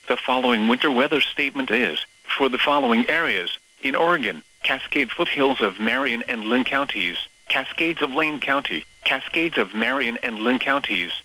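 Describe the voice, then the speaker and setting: A synthetic male voice reading scripted weather bulletins as a radio announcer, in a formal, measured, list-like delivery. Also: mid-range voice, sharp tone, poor audio. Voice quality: synthetic text-to-speech voice.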